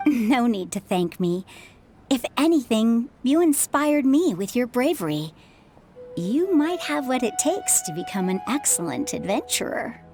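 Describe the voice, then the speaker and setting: An actress voicing a kind adult mother in a video game, gentle and reassuring. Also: fairly high pitch, sing-song, a little slow, a little hiss or hum. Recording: game soundtrack music behind.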